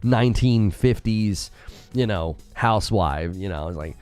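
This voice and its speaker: A man hosting a gaming news live stream, chatty and opinionated, his voice slightly hoarse and lower while recovering from being ill. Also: lively intonation, measured pace, a little mellow. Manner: opinionated, chatty, thanks viewers by name. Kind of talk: live-stream commentary and chat shout-outs.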